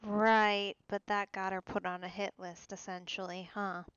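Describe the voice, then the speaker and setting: A woman's voice: mid-range voice, flat delivery, measured pace, fairly bright tone, smooth, dry recording. Woman game streamer, slightly muffled mic. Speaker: let's play streamer. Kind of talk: casual live game commentary.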